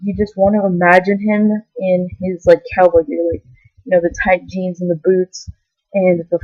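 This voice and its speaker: A woman's voice: on the low side, flat delivery, normal speed, no echo. Rambling young woman on a dull webcam. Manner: meandering, filler-heavy, giggly enthusiasm. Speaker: vlogger. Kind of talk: rambling casual vlog. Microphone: camera mic.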